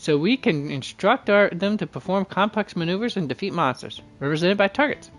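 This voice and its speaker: A man's voice: high-pitched, lively intonation, fairly quick, a bit bright. A man hosting a game livestream, reading dialogue aloud and commenting casually, often stumbling and correcting himself. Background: game music.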